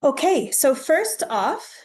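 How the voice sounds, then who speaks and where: A woman's voice: sits high, very animated, takes it slowly, a little mellow. A woman from Tanzania leading an online workshop, talking through the plan in a friendly, organized way with frequent "um" and "okay". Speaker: workshop facilitator, university instructor. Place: home or office, on a video call. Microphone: computer mic on a video call.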